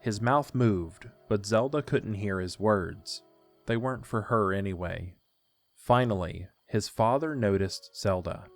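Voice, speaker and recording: A man's voice: lowish voice, measured pace, soft background music. A man narrating a scripted story for an audio drama.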